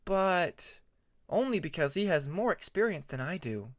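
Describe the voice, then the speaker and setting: Man acting as young female dragon, raised pitch, calm, patient, measured, earnest, fantasy storybook character. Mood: calm explaining. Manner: measured, explanatory.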